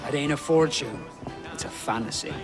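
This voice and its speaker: An actor playing a salty, skeptical, blustering veteran pirate with hearty seafaring talk in a video game. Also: male voice, medium pitch, lively intonation, normal speed, over loud music, close to an everyday voice. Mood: skeptical, needling. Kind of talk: video game cutscene acting.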